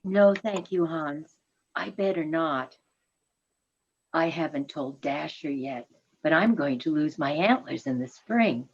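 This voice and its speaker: Muffled audio. Casting: woman as gentle, genteel reindeer wife in a radio play, lowish husky voice. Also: lively intonation, slow pace, heavily acted. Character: gentle, affectionate female reindeer, wife and mother. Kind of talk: reader's theatre radio play acting. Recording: dull, muffled recording.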